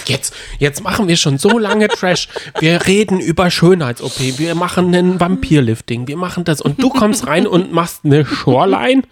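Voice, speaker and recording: A man's voice: high voice, expressive, moderate pace, few pauses. A man co-hosting a reality TV recap show in casual, bantering conversation.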